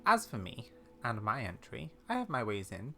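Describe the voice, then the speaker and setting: A man voicing a kindly, secretive old witch granny in a game, with measured, theatrical, old-fashioned speech. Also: medium pitch, huge pitch swings, bright, forceful tone, full character voice. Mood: fond, secretive. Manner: measured, mysterious storytelling with fond, old-fashioned turns of phrase. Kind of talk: game dialogue read aloud in character.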